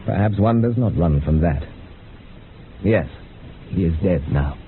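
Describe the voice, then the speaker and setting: Grave male mystic, musing, lowish voice, thin old-radio band. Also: expressive, unhurried. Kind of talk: dramatic radio horror acting. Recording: thin phone-like band with faint hiss.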